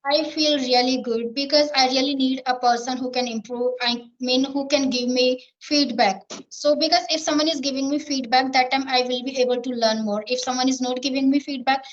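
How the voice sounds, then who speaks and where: A woman's voice: high-pitched, steady tone, brisk, few pauses, highs cut off. A woman with an Indian English accent answering interview questions in earnest, repetitive phrasing with fillers. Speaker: English learner being interviewed. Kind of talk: spoken English practice interview.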